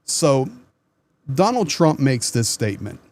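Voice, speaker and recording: A man talks straight to his viewers as the host of his own commentary channel. He is earnest and conversational, and sometimes repeats a word as he starts a sentence. His voice sits at an ordinary middle pitch. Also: very animated, a little slow, a little mellow. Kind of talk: casual news commentary to camera.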